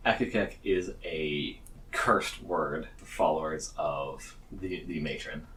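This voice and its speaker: A man narrating a tabletop fantasy game as game master, dramatic and deliberate. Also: very deep voice, fairly bright tone. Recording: echoey, slightly distant, faint ambient noise.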